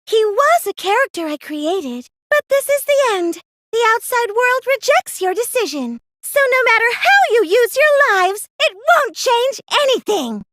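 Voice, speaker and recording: A woman's voice: extremely high pitch, sing-song, a little slow, a bit bright, no echo, clean studio sound, full character voice. A woman playing a girlish, smug mastermind in an English anime-style game dub, cheerfully menacing, theatrical and taunting as she insists on her point. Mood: insistent, scolding. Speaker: video game voice actor.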